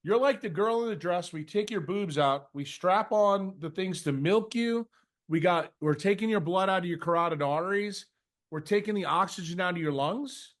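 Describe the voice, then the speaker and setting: A man with a fairly high voice speaks without a script, leading a question session. He is blunt and confrontational, swears freely, and scolds his listener with pointed rhetorical questions. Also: moderate pace. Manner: uses mocking imitations and rhetorical questions. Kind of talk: blunt unscripted lecturing in answer to a question.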